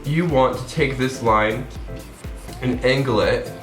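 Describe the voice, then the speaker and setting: A man's voice: mid-range voice, slow, a bit bright, soft background music. Stuffy-nosed young man in an echoey room. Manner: chatty, rambling, many fillers. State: stuffed up from a cold. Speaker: makeup vlogger. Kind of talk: casual tutorial talk. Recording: roomy echo.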